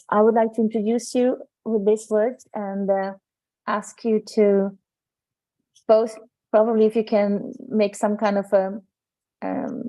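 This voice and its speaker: A woman moderating an online gathering over a video call, speaking in a halting way as she searches for words. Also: medium pitch, warm tone, dry recording, rough recording.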